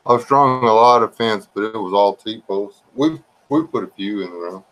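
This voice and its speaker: A man rambling casually to his live chat viewers in a slow, drawling, low-key voice that some find relaxing and others boring. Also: a bit bright, poor audio. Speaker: live stream host chatting with viewers.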